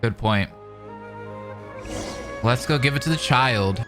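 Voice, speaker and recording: A man's voice: mid-range voice, slow pace, music in the background. A man giving casual, live reaction commentary while playing a video game.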